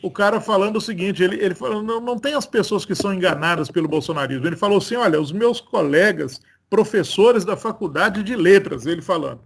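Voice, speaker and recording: Man, Brazilian accent, high-pitched, earnest, argumentative host. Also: fast, vocal fry, few pauses, husky. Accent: Brazilian Portuguese. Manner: earnest, argumentative, builds his points. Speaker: host of political commentary show. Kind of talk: casual political commentary.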